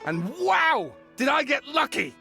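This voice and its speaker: An excitable male writer from a video game. The voice is high and lively, with showy, theatrical emphasis, over light background music. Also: measured pace, vocal fry, full character voice. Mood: showy excitement. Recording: music behind the voice.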